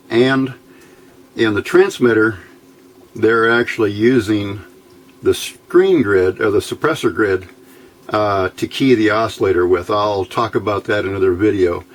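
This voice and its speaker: A man giving an unscripted hands-on technical tutorial in a matter-of-fact, halting, step-by-step way. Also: on the low side. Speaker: host of an electronics build tutorial. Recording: clear background noise, room ambience.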